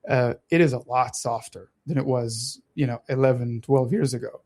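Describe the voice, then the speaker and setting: A man in his thirties with a soft Israeli-Italian accent, a native Hebrew speaker, talking casually and animatedly as a radio co-host. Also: mid-range voice. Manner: animated, talks fast with many restarts and laughs. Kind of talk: casual political chat.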